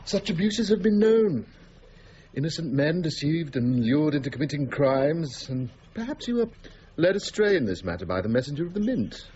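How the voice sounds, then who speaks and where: Man acting expressive, probing gentleman. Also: fairly high pitch, dull audio, slight background noise, natural voice. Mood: insinuating. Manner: measured, formal, questioning. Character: concerned, probing gentleman colleague of Newton. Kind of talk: period radio drama acting.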